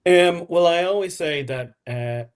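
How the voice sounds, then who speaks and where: A man's voice: a little high, unhurried, clear, resonant tone. A man talking casually as an interview guest on a podcast. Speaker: podcast guest, audio drama writer-director.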